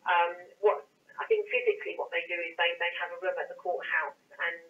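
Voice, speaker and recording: A woman's voice: on the low side, huge pitch swings, fairly quick, a little hiss or hum. A woman handling a call for an office, explaining carefully and hesitantly with many stammered repeats over a distant, tinny telephone line. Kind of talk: explanatory phone conversation.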